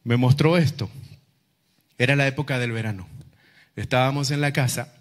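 A middle-aged Argentine man preaches from a stage into a handheld microphone. His voice sits at a medium pitch. He tells personal stories to a congregation, leaning on repetition, rhetorical questions and pauses for effect. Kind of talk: sermon with personal storytelling to a congregation. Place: large indoor church auditorium, on stage.

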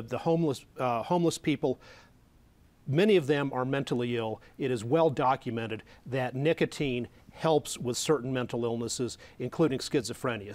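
Middle-aged guy on a tv panel, blunt and mocking, lapel mic, studio. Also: mid-range voice. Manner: blunt and scornful, mocking opponents. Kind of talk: televised political panel debate. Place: dark television studio set at a desk.

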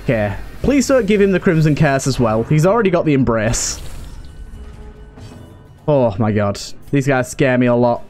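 A man's voice: medium pitch, lively intonation, normal speed, pauses often, a little mellow. A man giving casual let's play commentary, muttering to himself as he thinks through his moves. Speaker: gaming video host. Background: game music.

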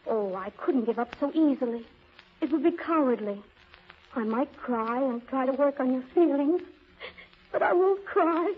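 On a thin, tinny old radio recording, a poor young woman hunting for a job. Her voice is high, earnest and pleading, with plucky eagerness in a theatrical 1930s manner. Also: expressive, normal speed, breathy edge. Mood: earnest, plaintive. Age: young adult. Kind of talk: 1930s radio drama acting.

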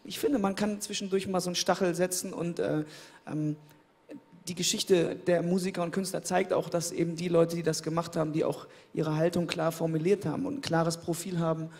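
30s guy on a handheld mic, kinda high voice, relaxed, stops and restarts while he thinks. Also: a little fast. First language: German. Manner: thoughtful, repeats words while searching for phrasing. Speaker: interviewed pop singer. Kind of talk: relaxed conversational interview. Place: indoor studio stage, seated in armchair. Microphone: handheld microphone.

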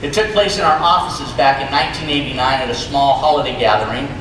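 A man's voice: medium pitch, fast. A man hosting an informal stage presentation to an audience, recorded in a large auditorium from the seats. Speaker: event host presenting on stage. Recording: echoey large hall from far off, noisy.

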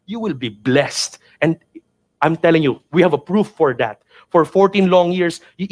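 A Filipino man in his thirties with a Filipino accent, a native Tagalog speaker, giving a motivational training talk in an emphatic, repetitive, urging manner. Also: high voice. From Philippines. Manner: emphatic, repetitive, preacher-like urging with rhetorical questions. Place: indoor studio with virtual backdrop. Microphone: headset mic.

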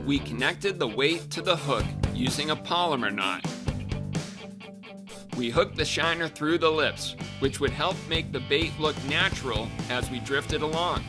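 Fishing show host narrating over guitar. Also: male voice, a little high, measured pace. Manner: calm, steady narration, excited exclamations on the boat. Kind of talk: scripted narration and casual chat on a boat. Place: small boat on a freshwater pond, outdoors. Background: guitar music.

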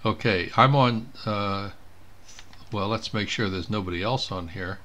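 A man giving an informal step-by-step software demonstration, thinking aloud as he clicks through each step. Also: lowish voice, unhurried. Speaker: presenter of a software tutorial. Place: indoors at a computer.

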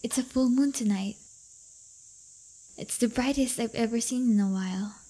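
Casting: woman as brash but loyal delinquent high school girlfriend, fairly high voice, slangy and teasing, for intimate audio roleplay. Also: normal speed, warm tone, rough recording. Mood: playful, teasing. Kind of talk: audio roleplay acting, intimate one-sided dialogue.

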